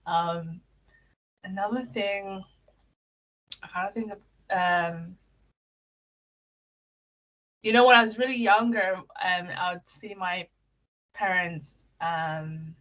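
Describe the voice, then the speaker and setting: A woman's voice: medium pitch, pushed and bright, lo-fi sound. A young woman from Kenya answering interview questions in a casual, giggly way, with lots of fillers and restarts. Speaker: interview guest.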